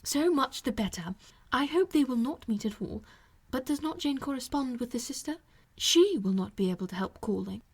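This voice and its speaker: A woman voicing a sensible, kindly married aunt in an audiobook, elegant and composed, with measured, reasonable delivery. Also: sits high, animated, breathy edge, exaggerated voice. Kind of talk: audiobook character voice.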